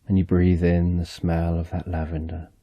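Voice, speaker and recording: A man narrating a slow, soothing guided relaxation story in the second person, speaking softly and gently. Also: low-pitched, completely flat delivery, no room sound, highs cut off.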